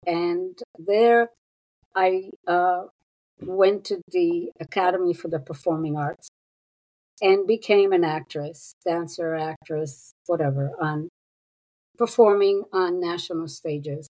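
Slow, low-voiced woman in her sixties. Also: warm tone, low-quality recording. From Israel. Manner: unhurried, thoughtful, with pauses and fillers. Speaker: guest, psychotherapist. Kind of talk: reflective interview talk.

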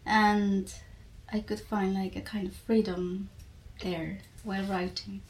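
A woman's voice: medium pitch, little pitch movement, slow, rough recording. A woman from Colombia with a Colombian accent and Spanish as her first language, speaking reflectively in an interview and searching for words. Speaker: podcast guest.

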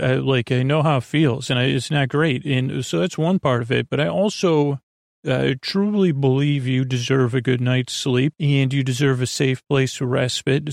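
A man hosting a bedtime podcast in a rambling monologue, with a gravelly voice and a bumbling way of circling around his point. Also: medium pitch, darkish tone, no room sound, clean studio sound. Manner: meandering, bumbling, trailing off and circling back. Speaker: podcast host.

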